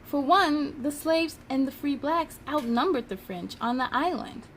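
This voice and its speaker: High-voiced, encouraging female schoolteacher read by a woman. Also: a little slow, slight background noise. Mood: patient, encouraging. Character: female history teacher, patient, encouraging, explaining to her pupils. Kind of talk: children's storybook dialogue.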